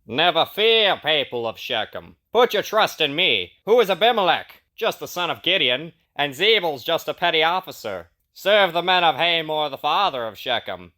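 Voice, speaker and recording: A man acts out a loud rebel chief in a Bible audio drama. The voice is sharp and bright, leaping about in pitch. He is boastful and excitable. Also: normal speed, hardly any pauses.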